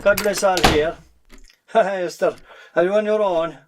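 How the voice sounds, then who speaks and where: A man's voice: high voice, steady tone, a little slow, noisy background. A man acting a nosy country uncle in an amateur play, folksy and roundabout, with an Irish accent. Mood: genial, probing.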